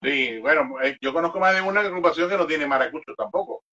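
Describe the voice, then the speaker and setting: A man's voice: fairly high pitch, quick pace, pushed and bright. A man with a Venezuelan accent hosting a radio interview in an informal, joking, teasing way. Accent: Venezuelan Spanish. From Venezuela, born in Caracas and raised in Aragua. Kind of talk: casual joking chat. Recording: dull, slightly distant radio audio.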